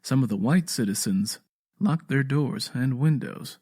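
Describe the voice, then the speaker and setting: A man's voice: medium pitch, expressive, measured pace, mellow, slightly rough. A man narrating a scripted dramatic story as its storyteller.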